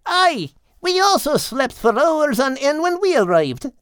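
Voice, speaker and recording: A man's voice: extremely high pitch, big pitch swings, unhurried, a bit bright, no echo, clean studio sound, voice pushed up, heavily acted. A man voicing a friendly, caring little seagull for an audiobook. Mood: warm, reassuring. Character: friendly, caring Scottish seagull, small storybook bird. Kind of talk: animated audiobook character voice.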